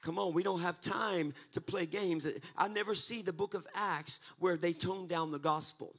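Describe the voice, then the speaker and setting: Fervent preacher guy, high voice, gets loud and shouty, handheld mic in church. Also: fairly even intonation, moderate pace, slight background noise. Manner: fervent, rises to shouts, paces the stage. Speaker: pastor. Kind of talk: energetic Pentecostal sermon. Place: church stage, mid-sized hall.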